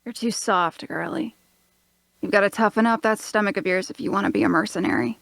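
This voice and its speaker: A woman's voice: medium pitch, a little fast, creaky, fairly bright tone, no echo. A woman playing a blunt, observant, hard-nosed mercenary in a fantasy audio drama. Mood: scornful. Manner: blunt, matter-of-fact.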